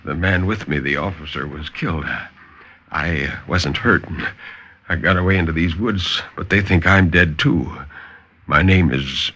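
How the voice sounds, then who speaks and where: Man playing a low, halting, desperate fugitive gentleman. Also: dull audio, exaggerated voice. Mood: drained, guarded. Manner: halting, hushed, repeating himself. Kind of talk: 1950s radio story acting. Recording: vintage 1950s radio recording.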